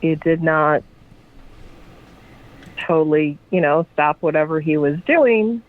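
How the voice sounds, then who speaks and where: A woman guest answering in a slow, halting, hesitant way with many pauses. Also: low-pitched. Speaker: guest, widow. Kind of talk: casual interview talk. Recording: tinny phone line.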